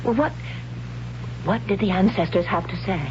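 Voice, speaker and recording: A woman's voice: medium pitch, moderate pace, lightly acted. An actress playing a brave, wondering young tribeswoman in a 1970s radio drama, speaking solemnly in simple phrases. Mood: curious, bold. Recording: dull, noisy old radio broadcast with hum.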